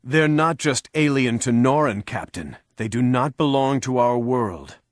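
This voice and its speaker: A man voicing a steady male high lord who speaks firmly and formally to his soldiers in a game cutscene. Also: measured pace, no room sound, studio quality, lightly acted. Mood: resolute. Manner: firm, formal, rallying.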